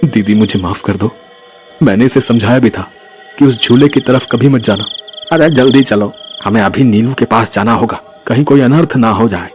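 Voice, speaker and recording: A man's voice: mid-range voice, moderate pace, darkish tone, lightly acted. Man as anxious village husband, melodramatic, exclamatory, cartoon storybook acting, music underneath. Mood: apologetic, urgent. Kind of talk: animated Hindi storybook acting. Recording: music behind the voice.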